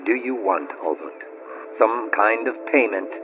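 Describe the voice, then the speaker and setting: A man playing a courtly, smooth-talking magistrate in a video game, speaking in a formal, flowery manner. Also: fairly low pitch, lively intonation, a little slow. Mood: incredulous. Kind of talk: cinematic video game acting. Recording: dull lo-fi audio with music behind.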